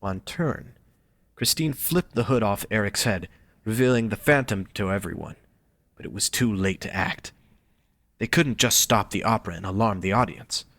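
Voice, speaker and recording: A man's voice: lowish voice, normal speed, fairly bright tone, no room sound. A man reading scripted story narration as the narrator of an audio drama.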